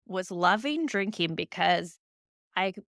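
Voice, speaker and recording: A woman podcast host talks in a lively, swooping way, with a gravelly edge on her lower notes. Her speech is casual and warm, full of filler words, and she sounds close to the mic in a dry room. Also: slow pace, dry recording. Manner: warm, empathetic, chatty with many "like"s. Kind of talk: casual interview chat.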